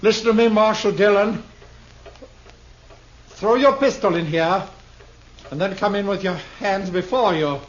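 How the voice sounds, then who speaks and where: An actor in a 1950s radio drama playing a tormented failed thespian on the run, speaking in florid, archaic, Shakespearean phrases. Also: male voice, high voice, unhurried, long pauses. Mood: frantic, threatening. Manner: theatrical, archaic florid speech, quoting Shakespeare. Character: desperate, tormented, ill-favoured failed actor turned armed fugitive. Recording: dull, noisy old radio recording.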